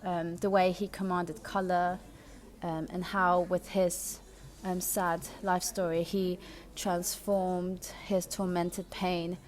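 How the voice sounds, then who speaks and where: A woman's voice: fairly low pitch, flat delivery, slow, soft background music. A young woman, born in Taiwan and raised in England, talking earnestly about her art and faith, with frequent ums and restarts. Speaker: artist.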